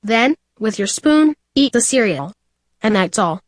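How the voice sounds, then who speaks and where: A woman delivers a scripted, vintage-style radio commercial. Her voice is on the high side and recorded dry. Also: animated, unhurried, no echo. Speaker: advertisement presenter. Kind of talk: scripted old-time radio commercial read.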